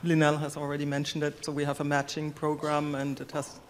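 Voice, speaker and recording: A middle-aged man with a somewhat high voice, speaking in a flat, even tone. His words carry a long hall reverb. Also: fairly quick, slight background noise. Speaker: panelist, sales operations director. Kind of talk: conference panel introduction.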